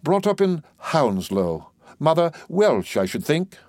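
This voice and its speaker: A man voicing an imperious, excitable professor in a play reading, declamatory and overbearing. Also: fairly high pitch, takes it slowly, no room sound, studio quality, voice pushed up. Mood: detached, smug. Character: imperious, excitable male professor, scornful and bossy.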